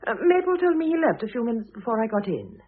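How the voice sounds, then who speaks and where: A woman's voice: on the high side, big pitch swings, fast talker, breathy edge. An actress in an old-time radio drama playing a gracious, composed, well-bred lady with an amiable, inquisitive manner. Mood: light, curious. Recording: muffled, noisy old radio recording.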